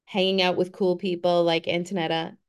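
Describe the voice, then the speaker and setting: A woman's voice: on the low side, sing-song, unhurried, darkish tone, clean tone. A woman in her fifties giving an earnest, encouraging motivational talk on a group call. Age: 50s. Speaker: guest speaker.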